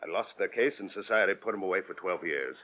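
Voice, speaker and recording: A man's voice: low-pitched, fast. An actor playing a surly, cynical tough man with a sneering, dismissive manner in a 1940s radio crime drama. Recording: dull vintage radio recording.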